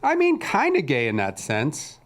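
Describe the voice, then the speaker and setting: A man's voice: fairly high pitch, huge pitch swings, a little slow. A man co-hosting a casual podcast, ranting in a crude, sarcastic way. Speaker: podcast co-host.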